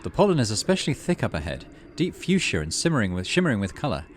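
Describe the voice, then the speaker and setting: Low-voiced man narrating a game, lively intonation. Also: fast talker. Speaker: gaming channel host. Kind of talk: casual game playthrough, reading on-screen text aloud. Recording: noticeable background noise.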